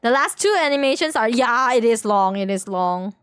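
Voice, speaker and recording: Chatty, higher-voiced woman streamer. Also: expressive, normal speed, a bit bright, smooth, dry recording, clean studio sound. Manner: chatty, rambling, slangy, repeats phrases. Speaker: game livestreamer. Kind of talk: casual stream chat with viewers.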